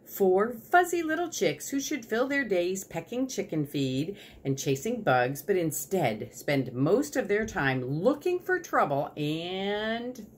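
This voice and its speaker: A woman voicing a retired rescue dog narrating a storybook, weary and wry, with deadpan, clipped delivery. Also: mid-range voice, takes it slowly, few pauses, slight background noise, pitched above the performer's own voice. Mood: wry introduction.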